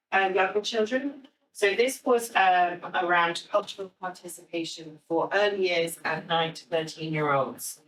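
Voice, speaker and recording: An Irish woman giving a live, explanatory presentation to an audience, speaking a little hesitantly. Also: medium pitch. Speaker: theatre director giving a talk. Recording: echoey room, distant microphone.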